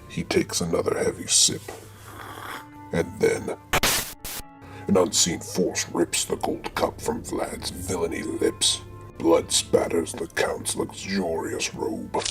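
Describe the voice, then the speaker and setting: A man with a gravelly voice narrating a comedy script in a deadpan, slangy, mock-dramatic way. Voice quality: very deep, gravelly. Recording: music behind the voice.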